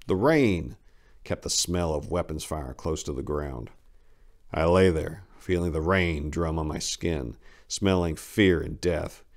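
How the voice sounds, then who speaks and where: A man narrating a short story as a dramatic reading, as its host. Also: sits low.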